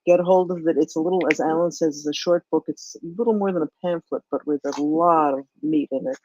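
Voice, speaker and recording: A woman's voice: sits low, fast, mellow, highs cut off, slight background noise. A woman with an American accent chatting casually as a co-host on a live online audio discussion. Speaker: co-host and book club leader. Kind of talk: casual chat. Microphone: live online audio chat.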